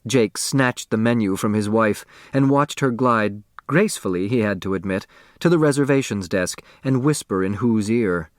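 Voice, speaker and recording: A man narrating a novel as an audiobook, in a measured, expressive storytelling manner. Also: on the low side, no echo, clean studio sound.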